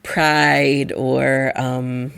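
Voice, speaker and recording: A woman co-hosting a casual podcast, her voice gravelly and lower from a respiratory infection, chatty and often correcting herself. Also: level tone, very slow, fairly bright tone, no room sound. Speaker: podcast co-host.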